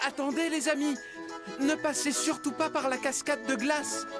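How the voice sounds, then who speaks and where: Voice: sits high, flat delivery, normal speed, over music, close to an everyday voice. An actor playing a friendly, helpful elephant seal who explains things patiently in a cartoon dub. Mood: helpful storytelling. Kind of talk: cartoon dubbing.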